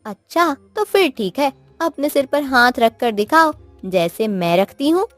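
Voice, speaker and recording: A woman's voice: sits high, very animated, a little slow, no room sound, pitched above the performer's own voice. A woman voicing a clever, bold young wife in a cartoon moral tale, speaking in a taunting, coaxing manner. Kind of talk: cartoon moral story acting. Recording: music behind the voice.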